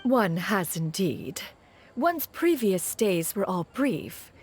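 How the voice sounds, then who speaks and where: A haughty ancient crane spirit. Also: female voice, medium pitch, big pitch swings, slow pace, darkish tone, slightly rough, soft background music, exaggerated voice. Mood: stately. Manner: archaic, formal, haughty, speaks of herself as "one". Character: ancient crane spirit as a stately elderly woman, proud.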